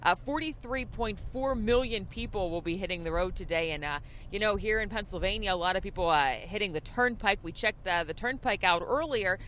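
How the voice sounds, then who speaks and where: A woman field reporter giving a live TV report from a moving vehicle, with a halting delivery full of hesitations. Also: medium pitch, expressive, fairly bright tone. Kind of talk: live TV traffic and weather report. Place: inside a moving vehicle on the road. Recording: road and vehicle noise behind voice.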